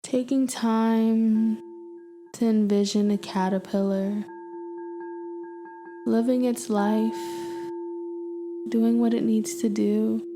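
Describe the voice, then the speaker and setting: A woman leading a guided meditation in a soothing, gentle manner, leaving long pauses between invitations. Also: fairly high pitch, flat delivery. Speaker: meditation guide and podcast host. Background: ambient meditation music with humming tones.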